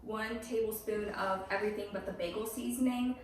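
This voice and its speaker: A woman with a slight cold and a hoarse voice, chatting through a home cooking tutorial step by step in her kitchen. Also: mid-range voice, steady tone, brisk, a little hiss or hum. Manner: chatty, explains steps plainly. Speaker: cooking channel host. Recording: voice a little far from the mic.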